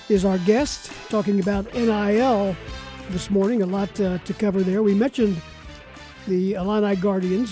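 Male radio host: mellow tone, courteous, orderly call handling and announcements, slightly hesitant explanations. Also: sits high. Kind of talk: live sports talk radio hosting.

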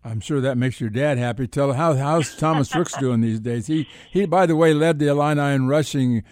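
A man's voice: mid-range voice, normal speed, darkish tone, no room sound, studio quality. An older American man co-hosting a sports talk show, chatting casually and often repeating his words. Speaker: sports talk radio co-host.

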